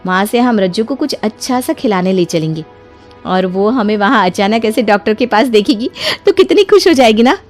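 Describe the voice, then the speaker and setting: A woman's voice: medium pitch, expressive, moderate pace, natural voice. A woman voicing a clever young daughter-in-law in a cartoon moral story, sweetly persuasive and emphatic. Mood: coaxing. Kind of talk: animated Hindi moral story dialogue. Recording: music behind the voice.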